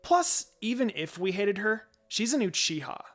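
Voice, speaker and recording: A man's voice: sits high, big pitch swings, normal speed, fairly bright tone, pitched above the performer's own voice. A man voicing a haughty, spiteful teenage bully girl in a fan fiction reading. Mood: taunting.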